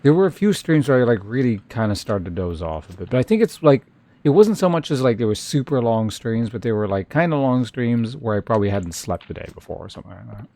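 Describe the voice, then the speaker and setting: A man giving casual game commentary as a streamer, musing in an unhurried, hesitant way and reading game text aloud. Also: medium pitch, big pitch swings, dark tone. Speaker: live streamer playing a video game.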